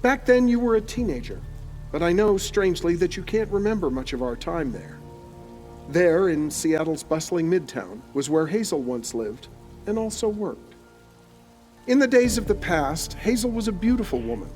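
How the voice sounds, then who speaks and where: A man's voice: high voice, very animated, normal speed, a little mellow, soft background music. A man playing an aged, dying writer who narrates an audio horror drama in a solemn, formal, literary manner. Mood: wistful, reminiscing. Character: aged dying male writer, solemn, penning a final warning.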